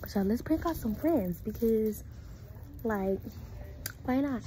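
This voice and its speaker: A young American woman chatting casually to camera in an informal, slangy, halting way, sometimes dropping into hushed asides. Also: fairly high pitch, lively intonation, a little hiss or hum, low-quality recording. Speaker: vlogger making prank calls. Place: small indoor room. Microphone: phone held at arm's length.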